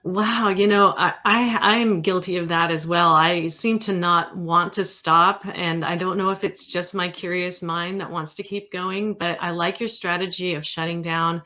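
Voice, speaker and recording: Female host, slightly low pitch, conversational interviewer who shares her own angle before asking. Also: fairly even intonation, normal speed, hardly any pauses, sharp tone.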